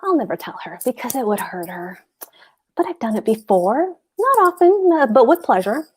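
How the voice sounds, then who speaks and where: Woman reading cheeky married landlord guy in a play, highish voice, blunt and smug, always coaxing. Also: very animated, normal speed, husky. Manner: blunt, suggestive, smugly coaxing. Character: brazen, philandering married landlord, a smug man. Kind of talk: play table read.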